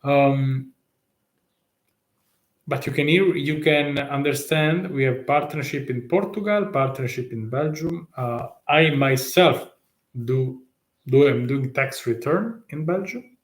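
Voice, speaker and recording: A man with an Italian accent talks through a live stream, stopping and restarting often and tossing out rhetorical questions. His voice sits in a middle register. The room around him rings with a noticeable echo. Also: mid-range voice. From Italy. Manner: halting, many fillers and restarts, rising rhetorical questions. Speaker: tax consultant.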